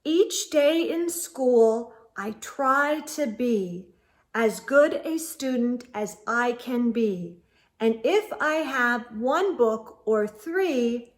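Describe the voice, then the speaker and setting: A woman's voice: a little high, lively intonation, very slow. A woman voicing a sensitive, earnest first-grade boy in a children's storybook, with a wistful manner. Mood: careful reciting.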